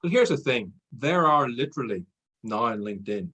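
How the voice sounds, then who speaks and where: Irish man, podcast guest, husky voice, chatty and self-deprecating, laughs easily. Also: mid-range voice, expressive, moderate pace, bright, forceful tone. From Ireland. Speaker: guest, sales trainer. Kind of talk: casual podcast interview.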